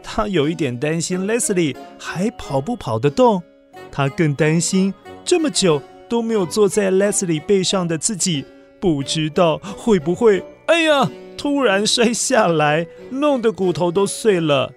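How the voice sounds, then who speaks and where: Gentle male children's storyteller. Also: high-pitched, expressive, measured pace, soft background music. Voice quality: gentle, addresses young listeners. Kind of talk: scripted children's story narration.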